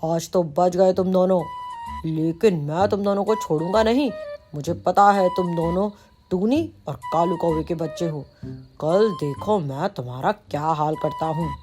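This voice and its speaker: A woman's voice: fairly low pitch, moderate pace, natural voice. Woman voicing a menacing cartoon hawk, music behind. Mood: vengeful, threatening. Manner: threatening. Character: menacing, vengeful male hawk woken from drunken sleep.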